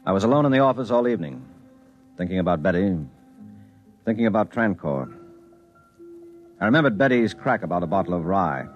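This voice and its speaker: An actor playing a harried, dogged investigator in a 1950s radio drama. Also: male voice, lowish voice, lightly acted. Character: harried married investigator, dogged but placating. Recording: muffled old radio broadcast.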